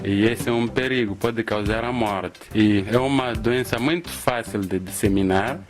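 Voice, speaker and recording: A man's voice: on the low side, normal speed. A man giving a spontaneous explanation as an interviewee. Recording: faint music behind.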